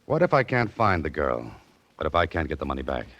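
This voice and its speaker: An American actor playing a wry, hard-boiled mystery hero in a 1940s radio drama, with dry, clipped wisecracking delivery. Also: male voice, rumbling low, sing-song, fairly quick, a bit bright, exaggerated voice. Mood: tense, defiant. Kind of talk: 1940s radio mystery acting and first-person narration. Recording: muffled old radio recording with music.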